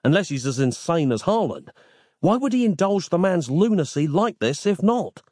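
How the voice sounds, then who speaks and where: A man's voice: high-pitched, big pitch swings, normal speed, darkish tone, no room sound, studio quality, voice pushed up. A man voicing an exasperated, sarcastic modern man who complains incredulously in an audio story.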